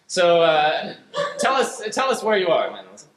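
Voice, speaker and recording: A man hosting a live improv show, explaining games in a hesitant, halting way. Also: high-pitched, wildly swooping pitch, very noisy. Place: theatre stage in front of audience. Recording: echoey live stage room.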